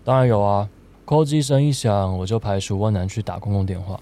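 A man's voice: lowish voice, fairly even intonation, a little fast, mellow, lightly acted. A young man with a Taiwanese accent acting a lovestruck, teasing student in an audio drama. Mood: playful teasing. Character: lovestruck teasing male student narrating from hospital. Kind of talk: audio drama narration and dialogue. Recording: roomy echo, music behind.

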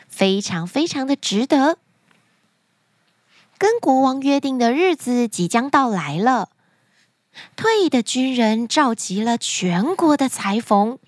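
A woman narrating children's stories as a podcast host, expressive and speaking directly to young listeners. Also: fairly high pitch, no echo, studio quality. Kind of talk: animated storytelling narration for children.

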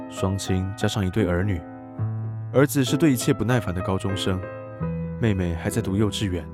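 An actor playing a polite young tutor who secretly observes others, narrating and talking in a scripted audio drama. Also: male voice, lowish voice, natural voice. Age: young adult.

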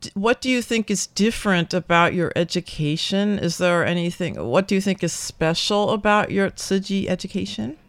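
A woman's voice: mid-range voice, animated, moderate pace, hardly any pauses, dry recording. A middle-aged woman hosting a friendly radio interview, enthusiastic and full of exclamations and small fillers. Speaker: radio show host and English department chair.

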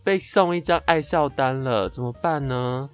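A young man with a Taiwanese Mandarin accent co-hosts a podcast. His voice sits at an average male pitch as he spins comic anecdotes with playful exaggeration. Light music plays underneath. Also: normal speed. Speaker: podcast co-host. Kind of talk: chatty comic storytelling in a two-host podcast.

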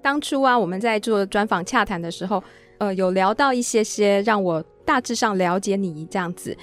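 A woman's voice: medium pitch, normal speed. A woman with a Taiwanese Mandarin accent who hosts a radio show, presenting scripted introductions and interviewing a guest. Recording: music playing behind the voice. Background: music.

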